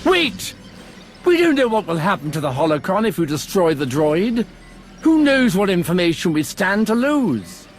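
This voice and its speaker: An excitable, bookish scholar in a video game. His pitch swings in a lively way as he talks in precise, wordy, fussy phrases, with music clearly behind him. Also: normal speed. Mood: flustered. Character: eager, bookish male scholar, curious and excitable. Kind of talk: video game cutscene acting.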